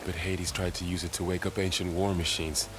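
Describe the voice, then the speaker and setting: A man playing a loyal young warrior companion in a video game, with a gently persuasive manner. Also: deep voice, level tone, brisk, noisy background, natural voice. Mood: supportive. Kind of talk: dramatic video game acting. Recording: music behind the voice.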